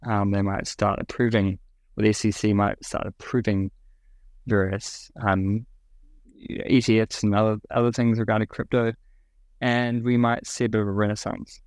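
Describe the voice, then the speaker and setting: Man, New Zealand accent, low-pitched, dry recording, hesitant, casual interview guest. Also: level tone, moderate pace, darkish tone, clear, resonant tone, no echo. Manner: hesitant, many fillers and restarts. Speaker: podcast guest.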